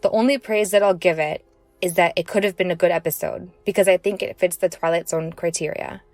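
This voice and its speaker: A woman's voice: on the low side, fairly even intonation, a little fast, a little mellow, dry recording. A woman giving a prepared personal commentary as a listener contributing to a podcast.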